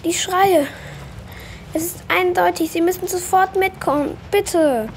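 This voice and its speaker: A woman's voice: extremely high pitch, unhurried, a little hiss or hum. An actress playing a frantic female pet owner in an audio drama, pleading urgently and stammering. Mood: panicked. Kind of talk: German audio drama acting.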